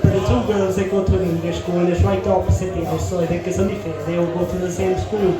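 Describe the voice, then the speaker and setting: A young man with a fairly high voice tells crude stories with deadpan timing. His voice booms around a very echoey hall, and music plays clearly behind him. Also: fast. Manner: crude, deadpan punchlines with profanity. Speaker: stand-up comedian. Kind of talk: stand-up comedy set. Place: comedy club stage.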